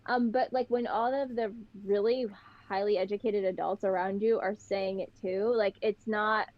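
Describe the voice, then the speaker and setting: A young woman with a Pittsburgh accent, a podcast guest chatting and telling stories in a lively way. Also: mid-range voice, normal speed. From Pittsburgh, Western Pennsylvania. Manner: chatty, animated storytelling. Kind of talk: casual chat. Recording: slightly dull, lo-fi sound.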